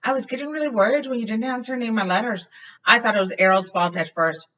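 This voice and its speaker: A woman voicing a chatty, excitable young wizard boy, a loyal friend telling things eagerly, for a storybook reading. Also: fairly high pitch, fast talker, voice pushed up. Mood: earnest. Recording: dull lo-fi, far from the mic.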